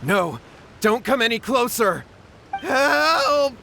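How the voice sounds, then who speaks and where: A man's voice: extremely high pitch, sing-song, slow, creaky, noisy background, full character voice. A man voicing a nervous, cowardly young man in a video game, flustered and stammering. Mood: terrified. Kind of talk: English video game voice acting.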